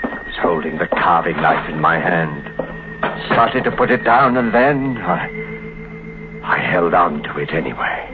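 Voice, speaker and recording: Through a thin, hissy old radio recording comes a tormented husband, protective and intense. He speaks slowly and haltingly, his middle voice swinging widely in pitch, in classic 1950s radio thriller melodrama. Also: animated, narrow, tinny audio, loud music behind the voice. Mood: brooding.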